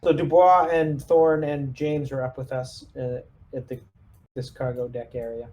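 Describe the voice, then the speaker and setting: A man's voice: medium pitch, flat delivery, a little slow, dark tone, a little hiss or hum. A man chatting casually as he plays squad leader in a tabletop roleplaying game.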